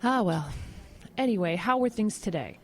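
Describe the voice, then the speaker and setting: A woman playing a curious, supportive friend and coworker who gently questions, in an audio drama. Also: mid-range voice, lively intonation, normal speed, lightly acted. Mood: wry, supportive.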